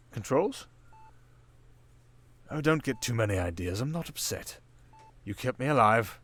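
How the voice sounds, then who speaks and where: Male actor as criminal mastermind, lowish pitch, silky and seductive, theatrical pauses, cunning, teasing, intimate ASMR roleplay. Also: fairly bright tone. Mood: wry, teasing.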